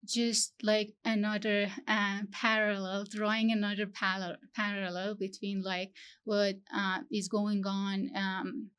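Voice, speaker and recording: A young woman from Iran, talking as an interview guest, halting and searching for her words with many fillers. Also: medium pitch, flat delivery, fairly bright tone, clean tone. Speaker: guest, PhD student. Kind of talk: interview conversation.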